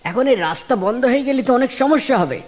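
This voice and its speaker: A man voicing an agitated, protesting villager in a moral cartoon, excitable in manner. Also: very high-pitched, racing, soft background music. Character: agitated, objecting male villager. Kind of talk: Islamic moral cartoon dialogue.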